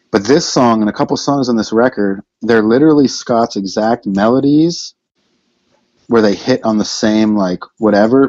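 A man's voice: lowish voice, a little mellow, no echo, dull audio. A man talking casually in a podcast interview, rambling with many fillers and restarts. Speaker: band member, vocalist and lyricist.

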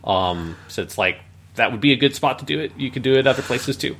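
A man's voice: mid-range voice, animated, fairly quick, fairly bright tone. A man chatting casually as a podcast host, rambling through his opinions and leaning often on "like". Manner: rambling, frequent "like". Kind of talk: casual review chat.

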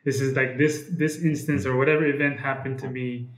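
A man's voice: medium pitch, little pitch movement, a little fast. Hesitant young man from Ethiopia, roomy sound. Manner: hesitant, many fillers and restarts. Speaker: guest, comic book publisher. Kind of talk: casual interview. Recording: roomy echo.

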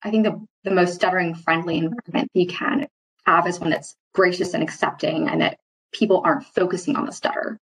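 A woman's voice: on the low side, fairly even intonation, a little fast, breathy edge, rough recording. A woman speaking as an interview guest, thoughtful and hesitant, with a mild, mostly hidden stutter that shows in occasional repeated starts. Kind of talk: reflective workplace interview.

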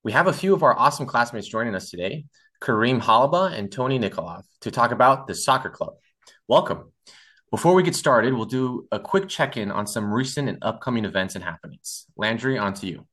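A man's voice: mid-range voice, animated, a little fast, fairly bright tone. A young man from Brazil hosting a student podcast, upbeat and chatty with lots of fillers. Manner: upbeat, with many "awesome"s and uh fillers. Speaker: podcast host. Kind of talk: scripted podcast intro and casual chat.

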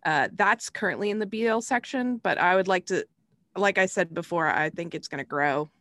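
A woman's voice: on the low side, animated, moderate pace, a bit bright, dry recording. A woman on a podcast panel, giving her opinions in casual discussion.

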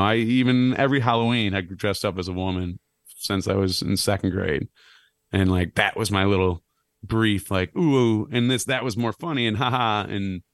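A man in his thirties with a low, slightly raspy voice, chatting casually on a podcast as a guest, rambling and self-deprecating. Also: no room sound. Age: 30s. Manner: rambling, self-deprecating, animated when joking. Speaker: clown and musician guest.

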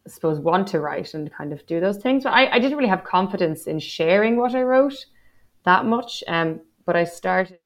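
A woman's voice: lowish voice, expressive, moderate pace. Reflective Dublin woman in her thirties. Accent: Dublin Irish. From Ireland. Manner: reflective, self-deprecating, frequent "like" fillers. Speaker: guest poet. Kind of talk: casual interview conversation.

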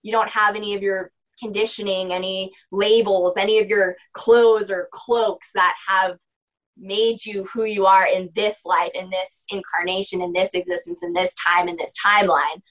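A woman's voice: medium pitch, flat delivery, moderate pace, fairly bright tone, low-quality recording. A young woman talking casually as a podcast guest, rambling with lots of filler words. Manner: rambling, heavy use of "like" and "you know". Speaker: podcast guest, spiritual practitioner. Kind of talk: casual interview chat.

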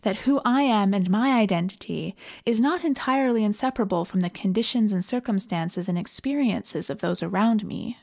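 A Canadian woman giving a reflective, scripted sermon in an earnest and measured manner. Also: mid-range voice, darkish tone. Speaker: preacher.

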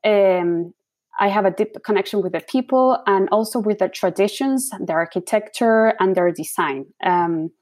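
A woman's voice: mid-range voice, normal speed, a little mellow. Woman guest with a Chilean accent, Spanish native, mid-range voice, earnest storyteller. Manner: earnest, searching for words. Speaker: guest, design founder.

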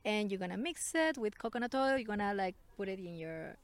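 A woman's voice: mid-range voice, animated, a little fast. A woman with a Chilean Spanish accent, explaining casually and conversationally with little acted-out examples. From Santiago, Chile. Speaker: interview guest, healing practitioner.

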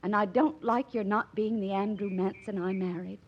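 An actress playing a gracious, principled young doctor's wife in a 1940s radio play. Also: female voice, medium pitch, steady tone, normal speed, over loud music, lightly acted. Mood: earnest, troubled. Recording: dull vintage radio recording.

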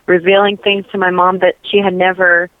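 A woman's voice: on the low side, level tone, moderate pace, dry recording. A woman from New York and New Jersey tells her story as an interview guest. She talks earnestly and wanders through her thoughts, leaning on "you know" fillers. Her voice comes through a tinny, phone-like line with no room sound. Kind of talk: casual testimony interview.

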